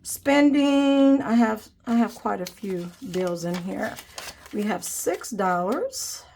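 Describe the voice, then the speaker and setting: A woman's voice: mid-range voice, expressive, slow, vocal fry, darkish tone, slight background noise. A young woman hosting a budgeting vlog, chatting casually and counting cash aloud, sounding nasal from a cold. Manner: friendly, calls viewers "guys", counts money aloud. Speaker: budgeting channel host.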